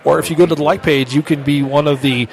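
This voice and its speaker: A man's voice: a little high, fairly even intonation, quick pace, rough recording. A man hosting a casual comedy podcast, rambling and stumbling over restarts as he talks. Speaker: podcast host.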